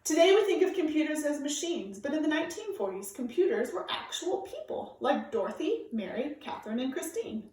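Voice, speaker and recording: A woman reading aloud to children like a teacher, with clear, deliberate, emphatic phrasing. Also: high voice, big pitch swings, a little mellow. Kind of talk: read-aloud storytelling for children, informal introduction. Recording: very echoey room, distant microphone.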